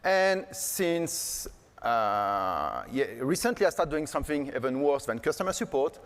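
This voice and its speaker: A man's voice: medium pitch, very animated, unhurried. Man giving a joking keynote. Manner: casual, joking, many fillers like "like" and "uh". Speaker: keynote speaker. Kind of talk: conference keynote. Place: conference hall stage. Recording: long hall reverb.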